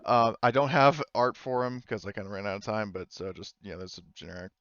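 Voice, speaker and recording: Halting man running a game, muffled mic. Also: on the low side, steady tone, fairly bright tone, no room sound. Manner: halting, swears casually. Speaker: game master. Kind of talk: casual improvised narration of a tabletop game.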